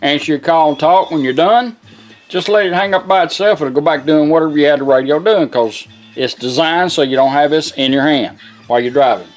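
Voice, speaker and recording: A man's voice: fairly high pitch, a little fast, faint music behind. A man giving a casual, hands-on product demonstration in a folksy, plain-spoken way.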